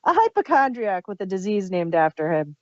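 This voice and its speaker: A woman's voice: mid-range voice, very animated, brisk, no room sound, lo-fi sound. A woman co-hosting a podcast, chatting casually in a wry, joking manner. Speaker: podcast co-host. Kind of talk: casual chat.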